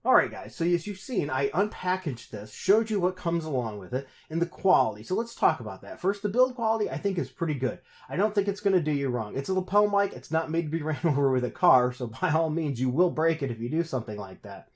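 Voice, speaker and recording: A man's voice: a little high, big pitch swings, quick pace, few pauses. Chatty man reviewing gear. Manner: chatty, frequent self-corrections and restarts. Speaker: host reviewing a product. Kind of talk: casual product review.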